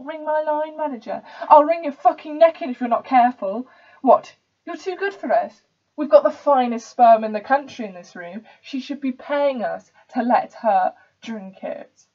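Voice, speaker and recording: A woman's voice: high-pitched, a little mellow, far from the mic. A woman playing an arrogant posh young English club member in a sneering, indignant stage monologue. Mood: contemptuous. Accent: posh English, put on. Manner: sneering, indignant, sweary ranting. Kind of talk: dramatic stage monologue reading. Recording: dull, lo-fi home recording.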